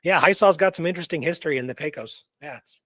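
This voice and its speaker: A man's voice: fairly high pitch, quick pace, fairly bright tone, no echo. A man co-hosting a history show in casual, conversational discussion, often dropping in "you know".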